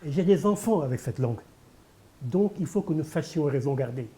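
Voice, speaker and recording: Dark-voiced older man, emphatic. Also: a little high, lively intonation, brisk. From Senegal. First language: Pulaar. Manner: emphatic, repeats key phrases, rhetorical. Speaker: poet and candidate guest. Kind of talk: televised interview.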